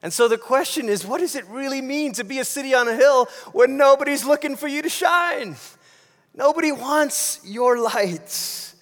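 A man's voice: very high-pitched, moderate pace, a bit bright. A man from Malaysia preaching a sermon in a conversational way, posing rhetorical questions and repeating phrases for emphasis. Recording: long hall reverb.

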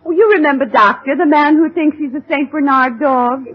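A woman's voice: high-pitched, moderate pace, close to an everyday voice. A woman playing a businesslike doctor's nurse and receptionist in an old radio comedy, officious and questioning. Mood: bright. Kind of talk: 1950s radio comedy acting. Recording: dull old-time radio recording.

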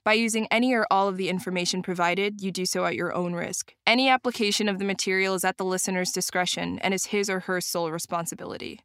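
A woman reading a formal legal disclaimer in a quick, even announcer style. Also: mid-range voice, no room sound, studio quality. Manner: smooth, fast formal reading. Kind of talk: read disclaimer.

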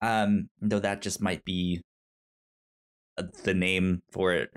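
A man co-hosting a podcast, casually discussing movies in a halting way, often repeating syllables and the starts of words. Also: low-pitched, little pitch movement. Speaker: podcast co-host.

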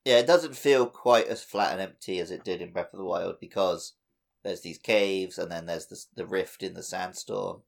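A man's voice: low-pitched, normal speed, gravelly. A man co-hosting a casual gaming podcast, joking and conversational. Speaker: podcast co-host. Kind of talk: casual chat about a video game.

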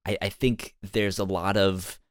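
A man's voice: low voice, little pitch movement, measured pace, no echo, studio quality. A man hosting a review podcast in casual conversation, stammering and often repeating sounds and words as he goes. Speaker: podcast host.

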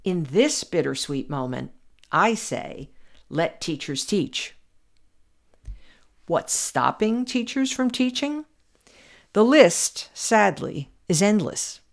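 An older American woman reading her own essay aloud as a podcast commentator, earnest and measured, pressing hard on her key points. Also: on the low side, expressive, creaky.

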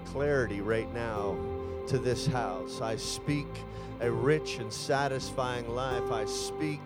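A man's voice: medium pitch, slow, loud music behind the voice. A man in his fifties preaching a sermon as a guest pastor, then praying aloud with rising fervor, declaring each line. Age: 50s. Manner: builds to loud fervent declarations in prayer. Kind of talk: sermon and prayer.

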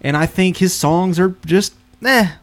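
A man's voice: high voice, unhurried, a bit bright. An American man giving his opinions in casual chat as a podcast co-host.

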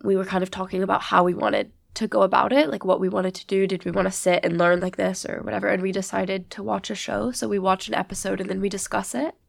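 A woman's voice: medium pitch, level tone, quick pace, gravelly, hardly any pauses, no echo, clean studio sound. A young woman from the USA, a guest chatting casually and filling her talk with "like". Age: young adult. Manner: chatty, many "like" fillers. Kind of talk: casual conversation.

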